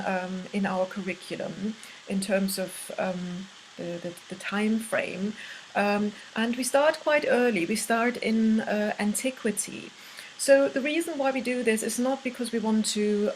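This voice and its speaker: A woman from Germany with a German accent speaks as a university lecturer, giving an informal course presentation in a halting way with frequent pauses. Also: medium pitch, a little hiss or hum, rough recording. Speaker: university art history lecturer.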